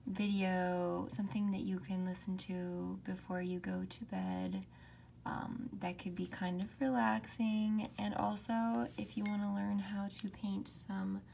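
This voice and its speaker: A young woman hosting a calm ASMR painting tutorial, speaking softly and often slipping into whispers. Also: mid-range voice, vocal fry, a little hiss or hum. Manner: soft, often whispered, unhurried. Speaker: art tutorial host. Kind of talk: soft-spoken ASMR drawing tutorial.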